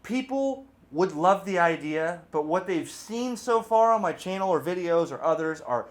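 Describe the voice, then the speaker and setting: A man hosting a casual, off-the-cuff product review, chatty and informal, with animated, emphatic asides. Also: sits high, moderate pace. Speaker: video host, radio hobbyist reviewer.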